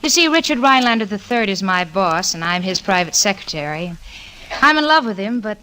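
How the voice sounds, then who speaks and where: An actress in a 1940s radio sitcom playing a level-headed young secretary with a dry, sardonic, wisecracking delivery. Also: female voice, medium pitch, big pitch swings, fairly quick, sharp tone. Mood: wry, confiding. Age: young adult. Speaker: narrator and co-lead. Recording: noisy 1940s radio recording with clicks.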